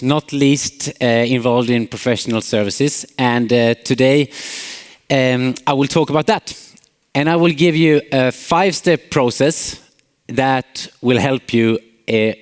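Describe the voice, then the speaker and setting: A man's voice: mid-range voice, long reverb tail. A man from Sweden with a Swedish accent, giving a friendly conference keynote as a company CEO, with many filler uhs and light jokes. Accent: Swedish, Småland. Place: large conference hall.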